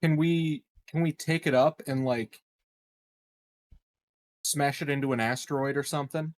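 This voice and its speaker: A man's voice: a little high, fairly even intonation, unhurried, no echo. A man playing a tabletop roleplaying game, asking questions and making suggestions in casual conversation. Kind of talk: casual tabletop planning chat.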